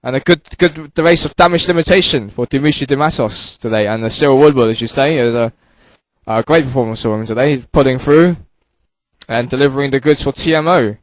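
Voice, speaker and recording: Male motorsport commentator and interviewer, mid-range voice, dry recording, conversational and slightly halting. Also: medium pitch, no room sound, poor audio. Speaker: sim racing race commentator. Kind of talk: live sports commentary and interviewing.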